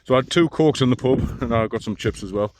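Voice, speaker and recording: A man, talking in a deep, mumbling voice in a casual walking vlog outdoors, rambling with dry self-mocking asides. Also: quick pace, background noise. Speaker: long-distance hiker vlogger. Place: outdoors on a hill trail.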